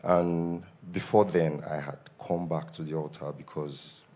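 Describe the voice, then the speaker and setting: A young Nigerian man with a Nigerian accent gives an earnest testimony at a church service, his voice a little worn from shouting. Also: extremely low pitch, steady tone, measured pace. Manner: earnest, grows more emphatic. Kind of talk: spoken testimony.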